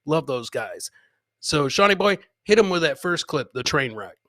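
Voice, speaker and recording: A bright, punchy male voice, a little high, recorded dry and close. He hosts in loose, slangy live chat, talking straight to the listeners and circling back over his own phrases. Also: sing-song, moderate pace, no room sound. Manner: slangy, repeats himself, addresses listeners directly. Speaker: podcast host. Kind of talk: casual live chat with viewers.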